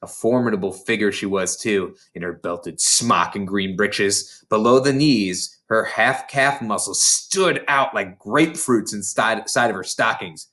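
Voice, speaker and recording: A reader giving an expressive, dramatic read-aloud narration of a children's novel. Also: mid-range voice, a little slow, hardly any pauses. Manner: dramatic, animated storytelling. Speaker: storybook reader.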